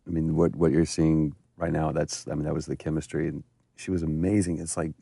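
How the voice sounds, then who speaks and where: A man's voice: a bass voice, flat delivery, a little fast, creaky, dark tone, breathy edge, dry recording. A man giving casual, reflective commentary as an actor, earnest and emphatic in how he talks.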